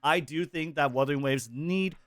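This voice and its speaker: A man giving a scripted video commentary, explaining his points in a measured way. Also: fairly high pitch, a bit bright, dry recording, clean studio sound, soft background music. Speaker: video creator.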